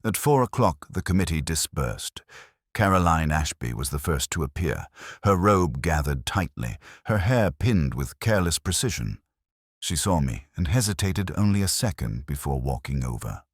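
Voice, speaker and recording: Very deep-voiced male narrator. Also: big pitch swings, slightly rough, dry recording, studio quality. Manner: literary, measured, formal. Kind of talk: scripted first-person detective story narration.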